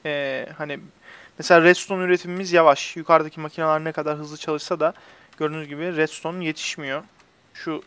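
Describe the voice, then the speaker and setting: A young man hosts a casual gaming session. His voice sits a little high for a man. He chats with friends as he explains what he is doing in the game. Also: fairly bright tone, clear, resonant tone, no echo. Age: young adult. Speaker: host of a gaming video channel. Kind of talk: casual let's-play commentary with friends. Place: indoors at a computer, webcam shown.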